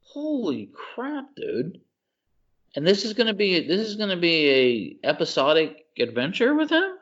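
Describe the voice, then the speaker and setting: A man co-hosting a podcast in casual chat, gushing and excitable, calling his friend "dude.". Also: high voice, a little slow, dull audio. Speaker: podcast co-host.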